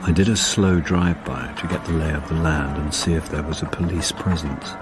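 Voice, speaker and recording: Low-voiced, wry middle-aged sleuth. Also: male voice, steady tone, brisk, over music. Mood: understated, watchful. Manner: wry, understated. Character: wry middle-aged actor and amateur sleuth, put-upon son. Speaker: narrator and lead character. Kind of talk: first-person radio drama narration and dialogue.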